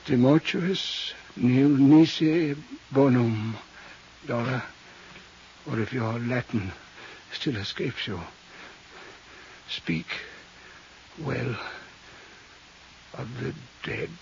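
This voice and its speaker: Florid old gentleman villain, muffled radio sound. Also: medium pitch, very slow, vocal fry, long pauses, slight background noise. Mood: weakened, pained. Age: older. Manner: florid, theatrical, courtly phrasing with Latin flourishes. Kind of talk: 1950s radio drama acting. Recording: muffled 1950s radio recording.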